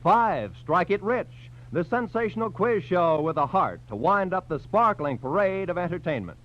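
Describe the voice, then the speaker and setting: A man's voice: high-pitched, animated, moderate pace. An American man announcing on 1940s radio, reading scripted credits and promotions in a polished, emphatic, formal style. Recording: dull 1940s radio recording.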